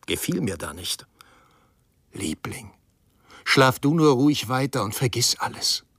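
An actor playing a tormented, self-mocking alcoholic writer in a radio drama, theatrical and halting. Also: male voice, mid-range voice, big pitch swings, fairly bright tone, breathy edge, full character voice. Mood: bitter, drunken musing. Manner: theatrical, halting, swinging between murmur and outburst. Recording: music behind the voice.

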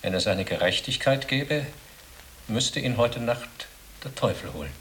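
A man's voice: medium pitch, expressive, a little slow, noisy background. A male actor playing a spiteful, gossiping medieval monk in a radio drama, sarcastic and agitated. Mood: bitter, sarcastic.

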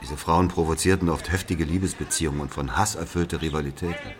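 A man's voice: a bass voice, steady tone, fast talker, a crowd in the background. A man playing a bitter brother of a murdered man, telling his story in an emphatic, conversational dramatic monologue. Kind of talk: confiding dramatic monologue to a listener.

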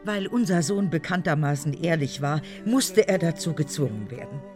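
A woman's voice: low-pitched, expressive, normal speed, over music. Older grieving, defiant mother. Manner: solemn, accusing, emphatic. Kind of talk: radio drama acting.

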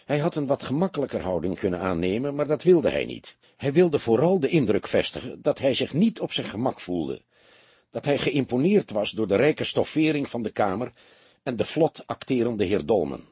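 A man reading an audiobook as narrator, in an expressive scripted style. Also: medium pitch, moderate pace, husky, dry recording.

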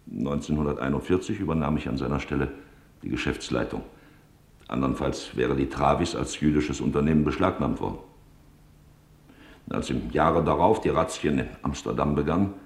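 A very deep, gravelly businessman recalls wartime events for a radio documentary. He is grave, factual and deliberate, in a roomy, echoing space. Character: sober Dutch businessman, a witness recalling wartime hiding. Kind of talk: scripted testimony in radio documentary drama.

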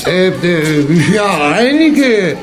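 An actor playing a nervous, evasive gentleman in a radio play, stammering and hesitant. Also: sits high, very animated, drawn-out words, exaggerated voice. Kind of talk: German radio play acting.

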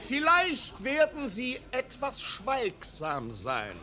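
An actor playing a snobbish, pompous marquis who ceremoniously hosts a lavish party in a 1950s German radio play. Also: male voice, very high voice, huge pitch swings, takes it slowly, pushed and bright, full character voice. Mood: pompous, festive. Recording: 1950s radio recording, noisy crowd. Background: noisy party crowd.

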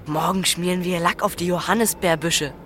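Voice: mid-range voice, fairly even intonation, moderate pace, a bit bright, soft background music, close to an everyday voice. An actor playing a cocky, bossy thirteen-year-old boy, a scheming prankster in a radio play. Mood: excited, conspiratorial. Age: child figure of thirteen. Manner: bossy, goading.

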